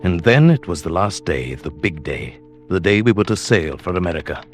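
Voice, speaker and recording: A low male voice with expressive swings, a mild, henpecked middle-aged husband in a vintage radio thriller. He speaks hesitantly and deliberately, with a quiet scheming undertone, and music sits behind him. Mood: brooding, confiding. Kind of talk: old-time radio drama acting with confiding first-person narration.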